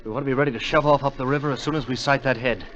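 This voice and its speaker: A man's voice: mid-range voice, fairly even intonation, rapid-fire, fairly bright tone, faint music behind, natural voice. An actor playing an eager, driven young man obsessed with his quest, earnest and intense in a 1940s radio drama style. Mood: brisk. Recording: muffled 1940s radio broadcast.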